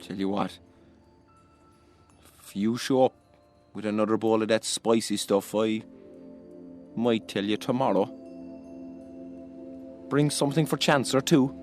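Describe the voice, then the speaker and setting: A man's voice: on the low side, steady tone, a little slow, long pauses, a little mellow, faint music behind, natural voice. An actor playing a proud, sardonic homeless Irishman who grumbles and swears in exasperation, in a radio play. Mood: grudging.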